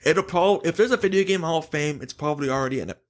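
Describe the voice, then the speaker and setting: A man hosting a podcast, chatting casually and giving opinions in an animated, emphatic way. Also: fairly high pitch, quick pace. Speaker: podcast host.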